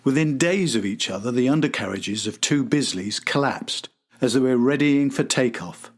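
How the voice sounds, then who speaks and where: A man's voice: mid-range voice, lively intonation, normal speed. A man hosting and narrating a history documentary from a script. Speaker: host and narrator.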